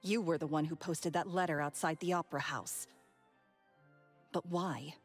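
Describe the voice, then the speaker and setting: A woman playing a bitter, guarded former phantom thief in a video game, with measured, dramatic, cynical delivery. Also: low voice, fairly even intonation, slightly rough. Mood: weary, accusing. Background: background game music.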